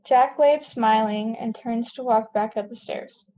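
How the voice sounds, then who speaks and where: A woman's voice: on the high side, unhurried, dark tone, poor audio. A woman narrating scripted stage directions for a table read.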